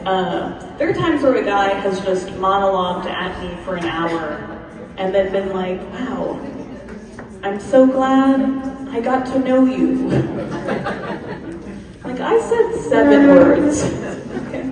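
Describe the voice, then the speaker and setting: A woman's voice: medium pitch, expressive, slow, pauses often, a crowd in the background. Self-deprecating woman comic in an echoey hall. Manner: dry, self-deprecating delivery. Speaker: stand-up comedian. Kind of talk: stand-up comedy set. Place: event hall with a crowd. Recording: echoey hall, mic heard from far off.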